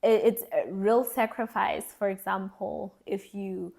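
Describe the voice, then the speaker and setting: A young woman from South Africa speaks to the camera in an earnest, reflective vlog, at a middle pitch. She thinks aloud, pausing and restarting as she weighs her words. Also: vocal fry, darkish tone. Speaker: vlogger. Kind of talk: candid reflective monologue.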